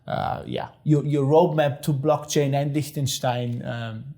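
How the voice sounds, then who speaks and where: A man's voice: mid-range voice, fairly even intonation, unhurried, creaky, mellow. A man hosting an interview podcast, asking questions in a friendly, hesitant way with many fillers and restarts. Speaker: podcast host. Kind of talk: casual interview.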